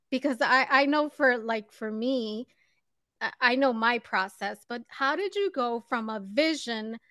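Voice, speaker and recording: A woman with a fairly high voice hosts an interview, reading a polished intro before moving into friendly chat. She greets her guest with an upbeat "love". The sound is dry and close to the mic. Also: dry recording. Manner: warm, upbeat greeting of "loves". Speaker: podcast host. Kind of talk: interview host, scripted intro then chat.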